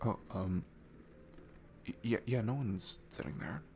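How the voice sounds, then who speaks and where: A man playing a shy, nervous, sweet young guy at a bar in an intimate ASMR roleplay, stammering and hesitant, with a soft gravelly voice that drops into hushed asides. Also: lowish voice, a little slow, slight background noise. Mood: flustered, nervous. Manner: hesitant, stammering, self-deprecating, breaking into hushed breathy asides. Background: music.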